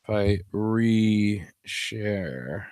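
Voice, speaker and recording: A man's voice: fairly low pitch, lively intonation, drawn-out words, a little mellow, clear, resonant tone, no echo. A man hosting a live stream in a casual, rambling, joking way. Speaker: host.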